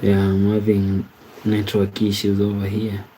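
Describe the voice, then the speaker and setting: A man from Kenya chats casually on a livestream. His voice sits low and warm, and it barely rises or falls. He reads viewer comments aloud and stumbles over his words as he answers them. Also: completely flat delivery, a little hiss or hum, poor audio. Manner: slow, halting, repeats words and restarts while reading comments aloud. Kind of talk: casual live-stream chat.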